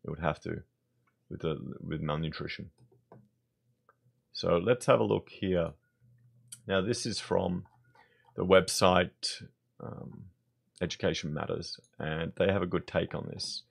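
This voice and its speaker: Deep, gravelly Australian man. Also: unhurried, pauses often. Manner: blunt, conversational, thinking aloud. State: hoarse. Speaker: vlogger. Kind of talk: opinionated commentary.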